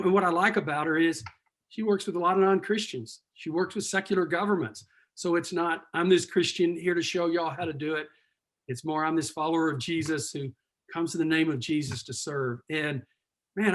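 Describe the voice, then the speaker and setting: Enthusiastic middle-aged man hosting a call. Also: fairly high pitch. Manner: enthusiastic, emphatic, folksy. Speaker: host, pastor. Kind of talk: casual hosting on a video call.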